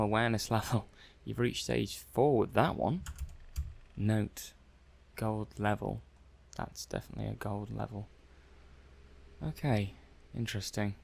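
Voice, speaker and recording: A man playing a hesitant, curious robot technician in an ASMR roleplay, halting and musing aloud. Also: on the low side, vocal fry. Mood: intrigued, thoughtful. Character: hesitant, curious male robot technician running software tests. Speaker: audio roleplay performer. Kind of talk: soft-paced ASMR roleplay monologue.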